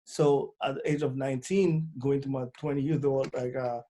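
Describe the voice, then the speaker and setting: French-accented man, dark and hesitant. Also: medium pitch, fairly even intonation. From Congo, born in France. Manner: halting, many fillers and restarts. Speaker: booking agent, guest. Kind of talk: casual interview.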